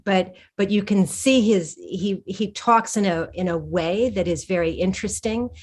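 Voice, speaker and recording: A woman author in an interview, answering thoughtfully with frequent restarts and pauses. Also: mid-range voice. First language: English. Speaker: historical fiction author, guest.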